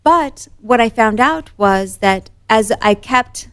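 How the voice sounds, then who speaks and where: A woman's voice: on the high side, expressive, slow pace, a bit bright, clear, resonant tone. A woman from Morocco, a guest on a podcast, telling stories cheerfully and laughing often in casual chat.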